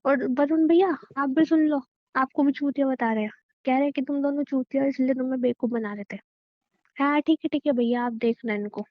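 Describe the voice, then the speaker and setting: Woman acting as young wife, really high voice, bossy n teasing, keeps nagging, dull lo-fi phone recording. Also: fairly quick, no room sound, close to an everyday voice. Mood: mock complaining. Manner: nagging, insistent, repeats herself. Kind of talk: naturalistic husband-wife phone call skit.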